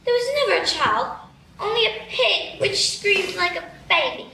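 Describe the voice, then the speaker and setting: A woman playing a polite, puzzled young girl with a very high, bright voice, asking earnest questions. The voice comes from a distance, off a live stage in a very echoey room. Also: expressive, normal speed, gravelly, slight background noise, full character voice. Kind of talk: live radio play acting.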